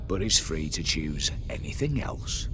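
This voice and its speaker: A man's voice: very deep voice, big pitch swings, a little slow, pushed and bright, faint music behind, heavily acted. An actor playing a sly, scheming young nobleman who speaks with smug mockery, recorded with long reverb.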